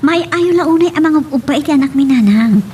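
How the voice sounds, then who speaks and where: Emotional young parent, played by a woman, high and animated, audio melodrama, some background noise. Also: a little fast. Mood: uneasy. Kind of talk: Ilocano audio drama acting.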